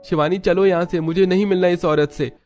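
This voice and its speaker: A man's voice: high-pitched, fairly even intonation, brisk, darkish tone, soft background music, pitched above the performer's own voice, lightly acted. A man voicing an earnest, devoted young husband in a family cartoon, with emphatic, dramatic delivery. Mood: insistent.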